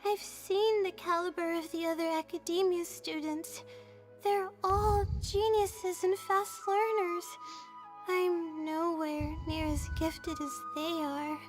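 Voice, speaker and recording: A woman's voice: very high-pitched, level tone, slow pace. A woman voicing an anxious, exhausted young female student full of self-doubt in a video game, hesitant and dejected. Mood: weary, despairing. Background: game music.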